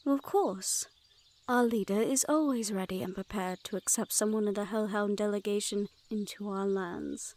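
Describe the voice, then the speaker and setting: A woman's voice: medium pitch, moderate pace, a little mellow, lightly acted. A woman playing a wary, teasing Fae border guard in a fantasy audio roleplay, with a playful, sardonic and haughty manner. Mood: formal, assured.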